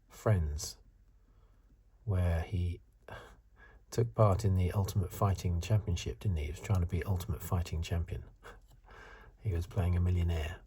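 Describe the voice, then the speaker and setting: A man in his fifties giving soft-spoken, whispery ASMR commentary in a hesitant, halting, unscripted way, with a breathy voice. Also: sits low. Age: 50s. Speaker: host of an ASMR video channel.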